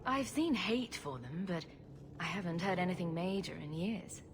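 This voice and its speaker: A woman's voice: on the low side, animated, normal speed, close to an everyday voice. A woman playing a witty, self-assured young rogue adventurer in a fantasy game, with a wry, flippant manner. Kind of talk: video game cutscene acting.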